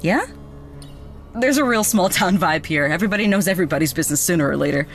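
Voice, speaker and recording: A woman playing a chatty, excitable young veterinarian with magic, in a naturalistic audio drama. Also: fairly low pitch, fast, faint music behind. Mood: warm, earnest. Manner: chatty, excitable, casual swearing. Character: bubbly, frank young woman vet with magic.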